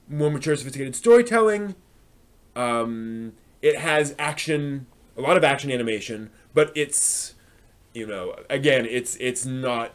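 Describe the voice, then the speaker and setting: Man, medium-pitched voice, casual live stream host, conversational, halting and reflective delivery. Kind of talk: casual discussion answering chat comments.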